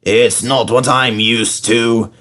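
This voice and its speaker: A man voicing a conceited, sulky steam engine in a storybook, with haughty, drawn-out complaining. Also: medium pitch, flat delivery, a bit bright. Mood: indignant.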